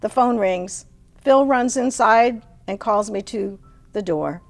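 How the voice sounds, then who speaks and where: A middle-aged or older woman giving an expressive, theatrical reading of a personal story. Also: medium pitch, slow pace. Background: music.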